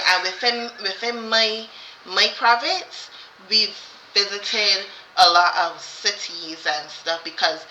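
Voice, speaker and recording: A woman's voice: fairly low pitch, sharp tone, a little hiss or hum. A woman chatting casually as co-host, rambling and repeating herself with many fillers and restarts. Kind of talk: casual chat. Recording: roomy, slightly dull sound.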